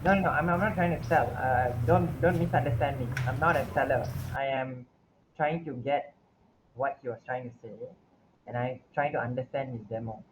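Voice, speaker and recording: A man's voice: medium pitch, normal speed. A man who builds communities asks a presenter to explain his point, talking in an apologetic, searching way full of fillers over a video call. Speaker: community builder asking questions. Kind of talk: online Q&A. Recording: noisy online call audio.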